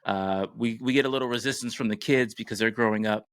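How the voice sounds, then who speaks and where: American male podcast host, middle-aged, lower-pitched, casual conversational delivery, dry recording. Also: fast, a bit bright, smooth, no room sound. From United States.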